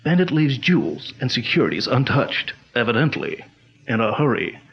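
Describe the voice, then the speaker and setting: A stern crime-fighting hero. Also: male voice, mid-range voice, sing-song, normal speed, dull audio. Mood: calm. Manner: firm, declamatory, authoritative. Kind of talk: 1940s radio acting.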